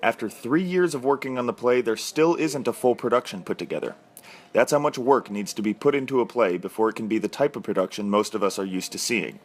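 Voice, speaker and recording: A man reports a feature in scripted news narration. His pitch is average for a male voice, and he delivers the copy as a reporter. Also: brisk.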